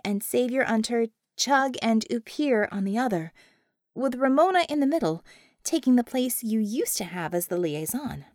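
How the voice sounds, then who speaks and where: Woman voicing eager, high-pitched female tech aide. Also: darkish tone, dry recording, studio quality.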